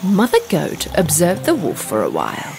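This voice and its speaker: A woman narrating a children's fairy tale in an expressive, dramatic storytelling manner. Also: fairly low pitch, moderate pace, gravelly, over music.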